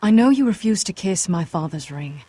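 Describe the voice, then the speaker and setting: Young noblewoman for fantasy game: dark-toned, lowish, guarded, earnest, grave and formal. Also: animated, no echo. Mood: grave, warning. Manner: measured, grave, formal phrasing. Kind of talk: video game drama voice acting.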